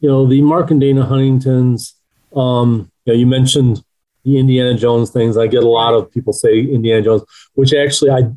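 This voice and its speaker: A man's voice: mid-range voice, steady tone, brisk, mellow, poor audio. A middle-aged man speaking as an author being interviewed, answering in a conversational way.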